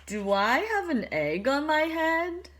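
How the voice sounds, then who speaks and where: A woman's voice: sits high, very animated, slow, fairly bright tone, pitched above the performer's own voice, exaggerated voice. A woman voicing an anxious male elephant in a storybook, with fretful, exclaiming questions. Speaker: storybook character.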